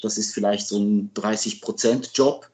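A man in his fifties from Switzerland, a native French speaker, answering as a guest expert in a halting, conversational way. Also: lowish voice, fairly even intonation, darkish tone. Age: 50s. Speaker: sports journalist and guest expert.

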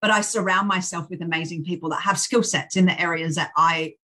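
Australian woman guest, forthright and lively, bright tone; plain-spoken, a touch salty. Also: on the low side, fairly quick. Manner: blunt, energetic, plain-spoken. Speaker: charity founder, guest. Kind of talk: candid interview chat.

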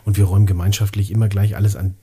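A man's voice: lowish voice, level tone, racing, dark tone, breathy edge. A man co-hosting a casual podcast in a joking, self-deprecating way, laughing often. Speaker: podcast co-host. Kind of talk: casual chat.

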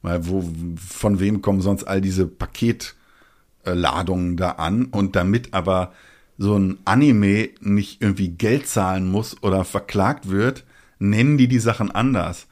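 A middle-aged man with a fairly low voice hosts a casual podcast. He explains things patiently like a teacher. He keeps slipping in wry, ironic asides. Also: fairly even intonation, unhurried, a little mellow. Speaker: podcast host. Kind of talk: casual chat.

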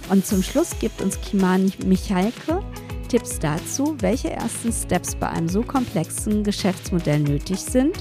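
A woman hosts a business podcast in a friendly, upbeat way, with a pitch that sits in the middle of her range. Music plays clearly beneath her voice. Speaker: podcast host and PR lead.

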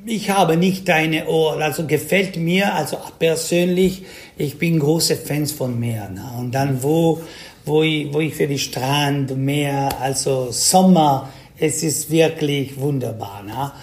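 Gravelly Italian-accented man, halting German. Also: on the high side, darkish tone. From South Tyrol, Italy. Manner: halting, broken German with many fillers. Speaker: Schlager singer, interview guest. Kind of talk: casual interview answers.

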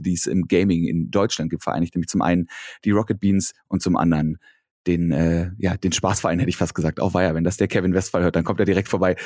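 Man, podcast host, Berlin accent, quick, jokey, rambling, dry close sound. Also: low-pitched, darkish tone, dry recording. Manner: rambling, self-correcting, jokey. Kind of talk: casual podcast chat.

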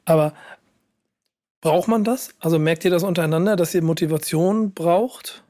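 A man with a fairly high voice hosts a casual podcast. He sounds hoarse and a bit worn out, like someone under the weather, and talks in a loose, conversational way. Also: normal speed, mellow.

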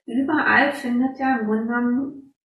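A woman's voice: fairly high pitch, steady tone, moderate pace. A woman interviewing her guest, thinking aloud in long reflective questions and backing her guest up with frequent murmurs. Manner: reflective, frequent "mhm" backchannels. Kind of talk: conversational interview. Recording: echoey room.

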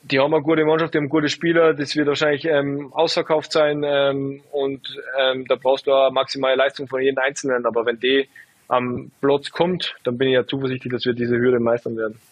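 A man in his twenties, a footballer, answering interview questions in a relaxed, halting way full of fillers. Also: mid-range voice, no echo, rough recording. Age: 20s. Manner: hesitant, lots of fillers, relaxed. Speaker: footballer guest.

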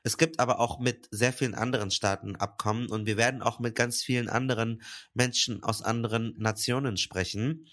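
A man co-hosting a podcast, with a cold, introducing the episode in a casual, lively way. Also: mid-range voice. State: has a cold. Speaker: podcast co-host.

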